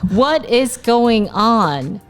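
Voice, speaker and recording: A woman's voice: on the high side, animated, extremely slow, soft background music. A woman hosting a casual on-location interview, playful and teasing, with bursts of mock indignation. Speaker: podcast host. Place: on location, recorded on the road.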